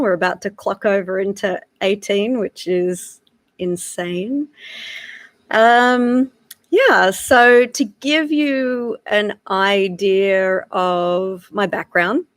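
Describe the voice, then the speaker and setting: A woman's voice: mid-range voice, takes it slowly, no echo. A woman in her thirties with an Australian accent, giving a casual live-streamed lesson, with lots of "uh" and "um" as she talks. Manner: frequent filler "uh" and "um", relaxed and chatty. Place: home in South Africa.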